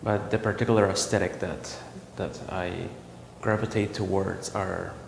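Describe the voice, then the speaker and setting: A man from El Salvador, a visual artist, talking about his work in a gallery in a reflective, halting way with many ums and restarts. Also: sits low, steady tone, gravelly, background noise. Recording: roomy gallery echo with long reverb.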